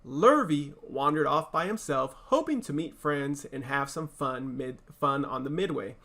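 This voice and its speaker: Man, teacher reading aloud, slightly high voice, bright, creaky edge, storybook narration. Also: animated, a little slow. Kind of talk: expressive storybook read-aloud with casual asides.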